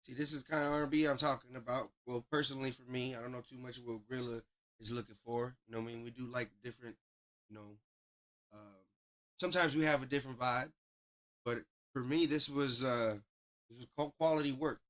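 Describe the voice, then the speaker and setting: A man's voice: medium pitch, steady tone, brisk, fairly bright tone. A man hosting a music review livestream, giving casual, slangy, off-the-cuff commentary on songs. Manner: slangy, informal. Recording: music playing behind the voice. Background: music tracks being reviewed.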